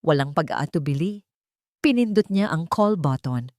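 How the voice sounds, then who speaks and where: A woman's voice: deep voice, expressive, moderate pace, darkish tone, no room sound, studio quality. A woman reading a scripted dramatic story as its narrator.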